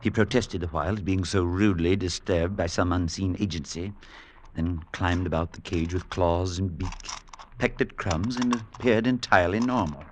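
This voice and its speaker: An actor playing an earnest, skeptical priest who narrates a radio drama in measured tones, with music behind him. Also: male voice, deep voice, fairly even intonation, a little hiss or hum, natural voice. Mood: solemn. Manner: measured storytelling, anxious in dialogue.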